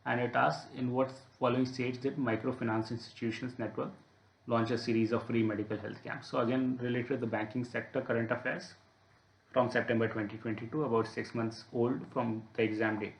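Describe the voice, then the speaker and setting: Male exam tutor, mid-pitched, methodical walkthrough delivery, noticeably roomy sound. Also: level tone, a little fast, gravelly. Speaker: exam preparation instructor. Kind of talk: explanatory exam paper walkthrough. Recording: echoey room.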